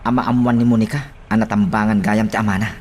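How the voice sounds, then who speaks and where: A man's voice: fairly low pitch, flat delivery, fast talker, a little hiss or hum, natural voice. A man acting a worried subordinate questioning his superior in an Ilocano radio drama. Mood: worried, urgent.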